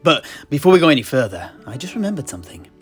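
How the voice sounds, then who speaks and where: A man's voice: on the high side, sing-song, quick pace. Man playing a genial scholarly tavern keeper, music behind. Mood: musing, genial. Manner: hesitant, musing, polite. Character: genial tavern keeper and amateur historian, bookish, fond of drink. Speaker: host and narrator of a fictional history chat. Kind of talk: in-character lore storytelling, unhurried. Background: guitar-like instrumental music.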